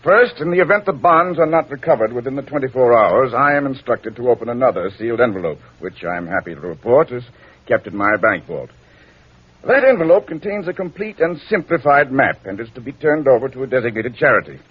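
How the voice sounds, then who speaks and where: Elderly attorney, flustered and self-satisfied, raspy animated voice, dull vintage radio drama. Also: male voice, medium pitch, a little fast. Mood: formal. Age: older. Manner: dithering, hesitant, pleased with himself. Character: flustered, fussy old male attorney. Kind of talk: 1940s radio drama acting. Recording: muffled vintage radio recording.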